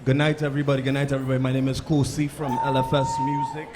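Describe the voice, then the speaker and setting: A man's voice: mid-range voice, little pitch movement, racing. A man, a musician, giving a casual answer on a panel.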